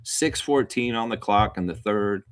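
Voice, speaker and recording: A man's voice: on the low side, unhurried. A man hosting a casual basketball watchalong, calling the play-by-play with informal, chatty asides. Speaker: live stream host calling play-by-play.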